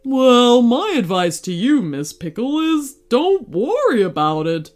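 A woman's voice: fairly high pitch, big pitch swings, takes it slowly, faint music behind, voice pushed up. A woman voicing a thoughtful, friendly little male dog in a storybook, giving kind advice. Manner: warm, thoughtful, advising.

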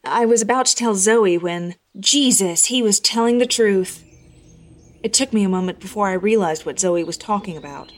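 A woman narrating scripted first-person horror fiction in a measured, expressive storytelling style. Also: medium pitch, slight background noise.

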